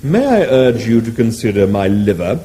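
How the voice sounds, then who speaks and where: A man voicing a courteous male cow-like creature with a low, mellow lowing voice and an unctuous, polite waiter manner. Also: very animated, moderate pace, slight background noise.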